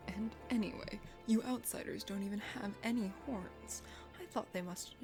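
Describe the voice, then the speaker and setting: A woman's voice: medium pitch, moderate pace, over music, voice pushed down, close to an everyday voice. A woman playing an arrogant, blustering but secretly bashful young man from a dating game. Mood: huffy. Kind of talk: dating game character read-aloud, ASMR.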